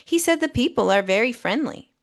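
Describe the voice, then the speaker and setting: A woman's voice: fairly high pitch, lively intonation, brisk, vocal fry. A woman co-hosting a podcast, explaining and reading out reports in a methodical, emphatic way, often checking in as she goes. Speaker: podcast co-host.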